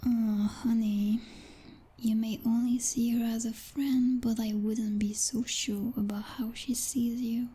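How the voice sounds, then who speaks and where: A woman's voice: on the high side, little pitch movement, slow pace, warm tone, slightly rough, heavily acted. A woman playing a jealous, possessive vampire girlfriend in an ASMR roleplay, whispering breathily in a teasing, commanding and condescending way. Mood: jealous, scornful.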